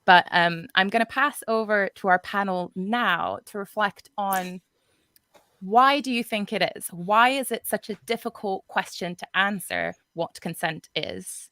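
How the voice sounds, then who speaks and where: A woman's voice: mid-range voice, unhurried, pushed and bright, no room sound. A woman moderating a livestreamed panel over a video call, talking casually and hesitantly with many fillers. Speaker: podcast co-host and event moderator.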